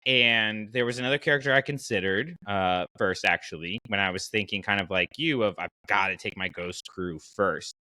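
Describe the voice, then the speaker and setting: A man hosting a podcast in casual chat, with a playful, animated way of bantering. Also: on the low side, normal speed, few pauses, no echo. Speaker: podcast host.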